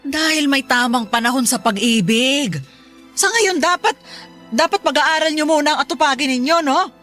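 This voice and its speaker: Radio drama role: stern Filipino mom played by a woman, high bright voice, emphatic and exasperated scolding. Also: moderate pace, soft background music.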